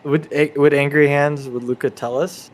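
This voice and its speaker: A man's voice: fairly high pitch, flat delivery, moderate pace, a little hiss or hum. A man playing a tabletop game, casually narrating his character's actions in a hesitant, laid-back way. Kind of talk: casual role-play, narrating his character in third person.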